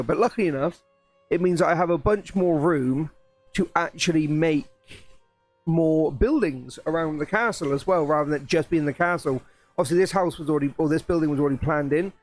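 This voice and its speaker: Croaky-voiced man streaming a build. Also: on the high side. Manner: rambling, restarts, excited. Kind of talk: casual live-stream chat while building.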